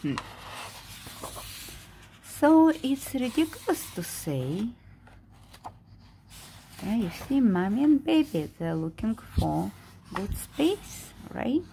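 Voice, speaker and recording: Very slow, expressive woman reading aloud. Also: on the high side, a little hiss or hum. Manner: slow, dramatic, expressive delivery with simple explanations. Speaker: picture-book reader. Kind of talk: read-aloud storytelling with asides to young listeners. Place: indoors at a table.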